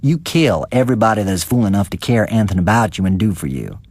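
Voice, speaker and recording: A man voicing a blunt, scornful small-town gossip woman in a storybook reading, with sharp, folksy scolding. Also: on the low side, animated, fast, slightly rough, no room sound. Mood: accusing.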